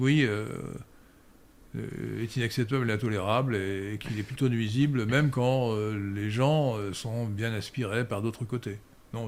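A man's voice: medium pitch, a little mellow. Hesitant lecturing French-speaking man. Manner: hesitant, frequent "euh" and restarts, lecturing tone. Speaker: guest answering viewer questions. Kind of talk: unscripted spoken Q&A.

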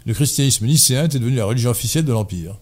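Male debate guest, mellow tone; learned, rambling, self-correcting delivery. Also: medium pitch, lively intonation, very fast. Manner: erudite, digressive, many fillers and self-corrections. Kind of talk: casual debate chat.

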